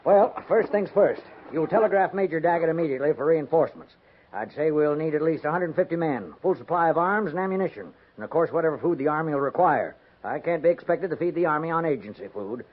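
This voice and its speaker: An actor playing a middle-aged, blustering and suspicious agency head, pompous and insistent, in a 1950s radio drama. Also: male voice, on the high side, animated, fast talker. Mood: brusque, urgent. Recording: tinny, lightly noisy 1950s radio recording.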